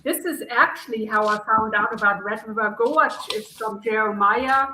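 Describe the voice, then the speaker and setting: A woman's voice: fairly high pitch, normal speed, pushed and bright, roomy sound, slight background noise, poor audio. A woman with a German accent, a podcast guest chatting casually and enthusiastically in long, rambling stories.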